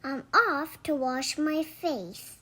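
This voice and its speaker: A female reader telling children's verse in an animated, expressive, sing-song storytelling style. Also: high-pitched, slow. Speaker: children's book narrator.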